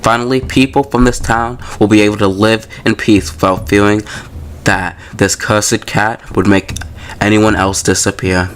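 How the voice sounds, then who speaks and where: A man's voice: on the low side, fairly even intonation, moderate pace. A man hosting a gaming video, casually commenting and reading story text aloud in an informal, slangy way.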